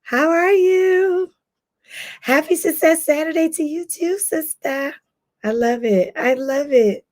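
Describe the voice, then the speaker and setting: A hoarse woman, a life coach, hosting a casual livestream and greeting viewers by name. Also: high-pitched, takes it slowly.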